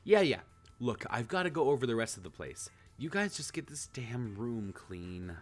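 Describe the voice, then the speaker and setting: A man's voice: medium pitch, very animated, normal speed, soft background music. A man voicing an exasperated, bossy young male dorm leader in a visual novel, indignant and commanding. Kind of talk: visual novel character read-out.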